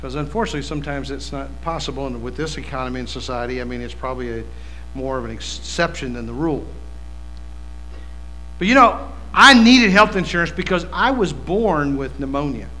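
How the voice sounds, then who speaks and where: A man in his fifties from West Virginia, preaching a sermon in a folksy, animated way with asides to the congregation. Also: mid-range voice, a little fast, low-quality recording. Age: 50s. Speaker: pastor.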